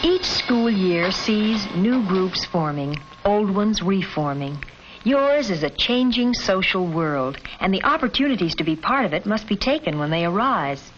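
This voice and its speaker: A woman's voice: mid-range voice, expressive, measured pace, slight background noise. A woman reading scripted narration for a 1950s educational film, addressing the listener directly in an admonishing way. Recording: dull vintage film soundtrack with music. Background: film score music.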